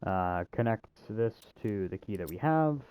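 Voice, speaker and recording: A man's voice: on the low side, lively intonation, measured pace, background noise. A man giving casual video game walkthrough commentary, with hesitant, wry, self-deprecating asides.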